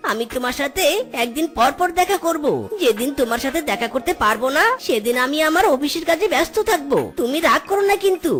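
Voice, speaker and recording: Woman playing a high-pitched cheeky young cartoon fox. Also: animated, quick pace, fairly bright tone, soft background music. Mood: lovestruck. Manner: exclamatory, cheeky, wheedling. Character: mischievous lazy young male fox, lovestruck umbrella seller. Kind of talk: children's cartoon acting.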